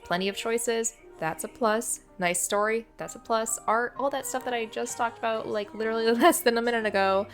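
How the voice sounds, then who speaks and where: High-voiced woman over background music. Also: moderate pace, hardly any pauses. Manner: chatty, opinionated, self-deprecating. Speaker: video host. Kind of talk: casual review commentary.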